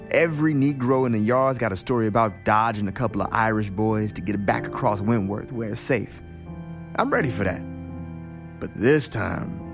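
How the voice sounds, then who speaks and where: Male actor for folksy 1919 working man, creaky voice, telling his own death in a radio play, music underneath. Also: mid-range voice, lightly acted. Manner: plainspoken, folksy storytelling. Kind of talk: radio drama monologue. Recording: acted over guitar music.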